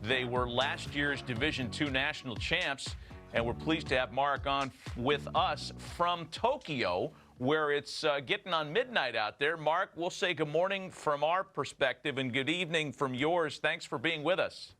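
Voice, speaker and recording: A man hosts a sports broadcast. His voice is bright and forward, with faint music underneath. He speaks as an interviewer addressing guests and viewers. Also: a little high, measured pace. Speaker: sports show host.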